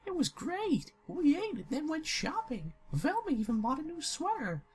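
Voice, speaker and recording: Man putting on a chirpy falsetto young woman. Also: huge pitch swings, moderate pace, a little mellow, soft background music, heavily acted. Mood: chirpy, curious. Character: perky, chatty young woman, cartoon friend.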